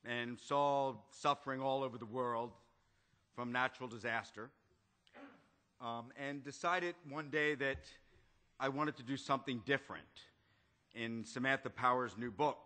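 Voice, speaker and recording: Bright-voiced conversational man. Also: mid-range voice, measured pace. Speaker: panelist. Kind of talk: conference panel speech.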